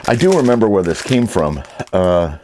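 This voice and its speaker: A man's voice: sits low, big pitch swings, moderate pace, mellow, slight background noise. A man giving a casual, rambling and easygoing vlog talk as an online reseller.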